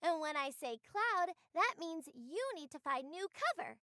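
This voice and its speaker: A woman's voice: extremely high pitch, very animated, measured pace, sharp tone, no echo, studio quality, voice pushed up, exaggerated voice. A woman playing a confident, teasing winged courier girl with a casual, playful manner, in a visual novel reading. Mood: sneaky, playful.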